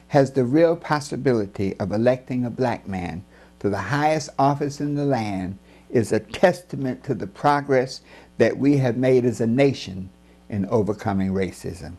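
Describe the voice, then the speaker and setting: A man reading his own book as scripted narration, in a grave, deliberate and emphatic oratorical manner. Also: mid-range voice, darkish tone.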